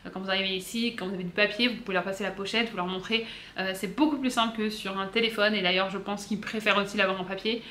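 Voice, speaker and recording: A woman from south-east France with a French accent, giving casual vlog advice in a chatty, halting way with frequent self-corrections. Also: medium pitch, fairly even intonation, pushed and bright, slight background noise. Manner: chatty, hesitant, self-correcting with many pauses. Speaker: vlogger. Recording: roomy echo.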